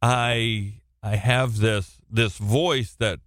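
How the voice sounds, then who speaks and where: A man teaching through a Bible passage on the radio, with a loud, projecting voice and a halting delivery full of restarts and repeated words. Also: on the low side, fairly even intonation, no echo, clean studio sound. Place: radio studio, alone.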